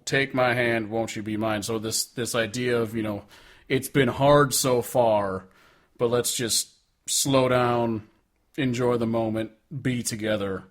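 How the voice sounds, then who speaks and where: A man co-hosting a music podcast, talking casually in a frank, sweary, rambling manner. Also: medium pitch. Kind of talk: casual chat about music.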